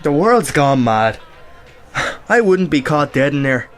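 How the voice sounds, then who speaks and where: A male actor plays a wry old Irish republican in a radio drama. He talks conversationally in a slightly high voice, ready to argue. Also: very animated, a little slow. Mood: wry, sceptical. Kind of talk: conversational radio play acting.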